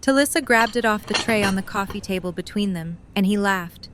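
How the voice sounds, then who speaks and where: A woman's voice: mid-range voice, a little fast. A woman reading scripted third-person narration for an audio drama. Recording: faint background ambient noise.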